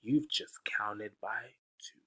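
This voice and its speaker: Man, picture-book read-aloud for kids, very slow and sing-song, bright tone. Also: fairly low pitch. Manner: slow, sing-song, coaxing questions to young listeners. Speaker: teacher reading aloud.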